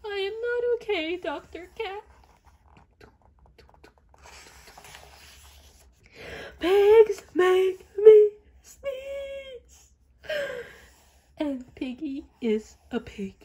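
Woman acting a melodramatic high-pitched boy elephant. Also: very animated, extremely slow, dark tone, heavily acted. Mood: distressed. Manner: exclamatory, dramatic. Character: anxious, melodramatic male elephant, sneezy and wailing.

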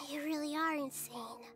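High-voiced, wise little girl deity. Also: steady tone, takes it slowly. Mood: grave, troubled. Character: small, wise, gentle childlike girl deity. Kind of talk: scripted game cutscene dialogue. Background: background music.

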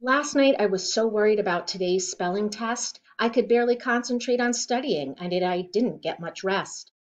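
A middle-aged woman reads a rhyming children's picture book aloud in a deliberate, expressive way, recorded at home on a device mic. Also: medium pitch. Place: living room at home, indoors. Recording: dull lo-fi webcam sound.

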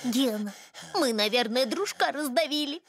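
A woman's voice: high-pitched, expressive, a little slow. A woman voicing a small, curious and earnest pup child in a children's cartoon, speaking in a naive, childlike way. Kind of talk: animated children's series voice acting. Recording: music behind the voice.

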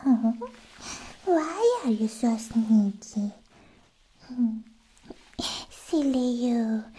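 A sweet, obsessive alien girlfriend, played by a woman, coos in a high, breathy voice that often sinks to a whisper. She draws her words out extremely slowly and dotingly. It is a close-miked ASMR roleplay with a little hiss behind it. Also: dark tone. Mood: adoring, coy. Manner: cooing, drawn-out, doting. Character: clingy, lovestruck female alien girlfriend, sweet and slightly obsessed. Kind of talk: soft-spoken ASMR roleplay. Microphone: close sensitive microphone.

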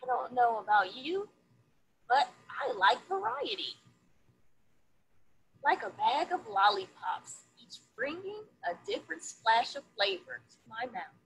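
A woman in her thirties reading a picture book aloud to young children in an expressive, deliberate, sing-song storytelling manner. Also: high voice, a little hiss or hum, poor audio.

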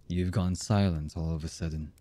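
Man acting a husky, dark-voiced anime villain. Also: steady tone, lightly acted. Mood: cold menace. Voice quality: husky, low. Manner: slow, menacing, halting, often dropping to a murmur. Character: cold, embittered villain man, a yandere anime lover. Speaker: voice actor. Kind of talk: ASMR roleplay acting.

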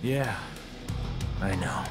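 A man's voice: low voice, drawn-out words, loud music behind the voice. A man playing a cocky, laid-back middle-aged demon hunter in a video game cutscene, with a wry, teasing drawl. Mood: confident, easygoing.

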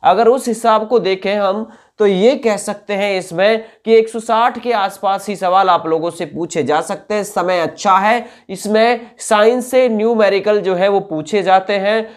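Young man explaining emphatically. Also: sits high. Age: 20s. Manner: emphatic, repeats points, checks understanding. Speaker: exam coaching YouTuber. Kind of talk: informal explanatory lecture to camera. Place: indoor room with whiteboard.

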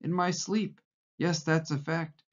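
A man's voice: a little high, little pitch movement, takes it slowly, voice pushed up. A man voicing a frightened, fawning middle-aged male prisoner who pleads eagerly and nearly blubbers. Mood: anxious, sanctimonious. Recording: muffled lo-fi recording.

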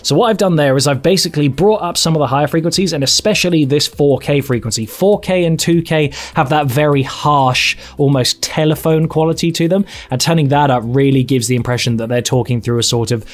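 A man's voice: a little high, fairly quick, hardly any pauses. A man in his twenties narrating an instructional video, explaining each step directly to viewers. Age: 20s. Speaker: audio tutorial presenter.

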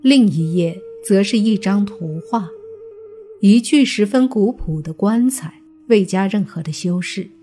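A woman narrating a scripted suspense audiobook in a measured, deliberate storytelling manner. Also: mid-range voice, animated, dark tone. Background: background music.

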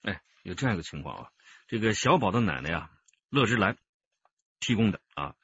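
Husky, low-voiced police chief. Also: male voice, big pitch swings, brisk, fairly bright tone, dry recording, highs cut off, heavily acted. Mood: grave, measured. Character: commanding, measured male police squad chief. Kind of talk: radio drama acting.

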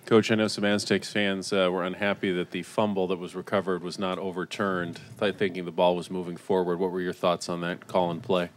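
A man's voice: deep voice, flat delivery, a little fast, few pauses, a little hiss or hum. A man, a sports reporter, putting direct questions to a coach and players.

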